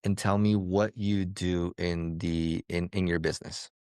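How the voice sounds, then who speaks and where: A man's voice: sits low, steady tone, a little slow, darkish tone, smooth, dry recording, studio quality. A man hosting a podcast interview, speaking in an emphatic, advising way. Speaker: podcast host.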